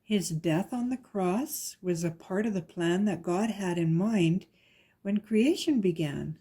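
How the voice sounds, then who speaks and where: Mellow, slightly husky woman reading aloud. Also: fairly low pitch, expressive, takes it slowly. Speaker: guest story reader. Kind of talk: reading a rhyming children's picture book aloud.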